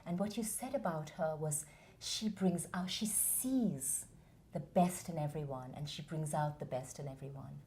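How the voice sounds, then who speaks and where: Earnest woman, low warm voice. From India. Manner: earnest, measured, passionate. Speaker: interview guest, founder of a theatre academy. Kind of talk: reflective interview answers.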